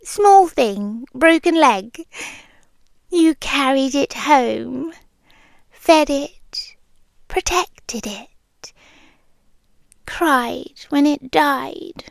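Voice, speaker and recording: A British woman playing an amused, possessive immortal monster queen in an intimate, hushed ASMR roleplay, teasing and often slipping into whispers. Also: sits high, lively intonation, very slow, vocal fry, long pauses, dry recording, full character voice. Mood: wistful, longing.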